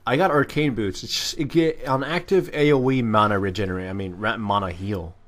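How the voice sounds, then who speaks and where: A man's voice: on the low side, a little fast, a bit bright. A man giving casual, off-the-cuff gameplay commentary, rambling and correcting himself in crude, slangy language. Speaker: gaming video commentator.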